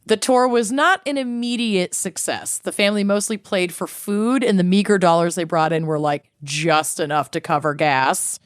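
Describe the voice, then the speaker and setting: A woman co-host recounts a story over casual podcast chat, peppering it with "like" and the odd curse. Her voice sits on the low side and sounds smooth and clear. The recording is close and dry. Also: normal speed, hardly any pauses, no echo. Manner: chatty, swears casually, uses filler "like".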